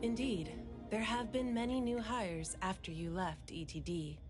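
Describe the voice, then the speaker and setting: An actress playing a formal, composed female bureau officer in a video game, polite, measured and businesslike. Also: mid-range voice, steady tone, close to an everyday voice. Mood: matter-of-fact. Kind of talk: video game story voice acting. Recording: music clearly under the voice.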